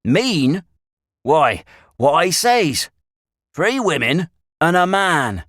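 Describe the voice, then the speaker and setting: A man's voice: fairly high pitch, big pitch swings, very slow, a bit bright, no room sound, studio quality, voice pushed up, exaggerated voice. A man voicing a grave old country shepherd with a rural English accent, speaking emphatic, folksy dialect in a storybook reading. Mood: ominous.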